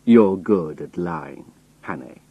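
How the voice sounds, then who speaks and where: Guy doing a creepy old spy boss in an audiobook, smooth-mannered and menacing, slow husky voice. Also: deep voice, no room sound, phone-quality sound, pitched below the performer's own voice, heavily acted. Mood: quiet doubt. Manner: calm, polite, quietly menacing. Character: smiling, sinister old male spy chief.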